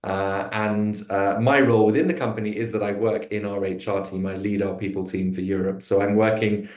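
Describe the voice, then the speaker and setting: A man's voice: on the low side, fairly even intonation, a little fast, a little mellow. A man hosting a business webinar over a video call, hesitant with many fillers and restarts. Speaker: webinar host. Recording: echoey room.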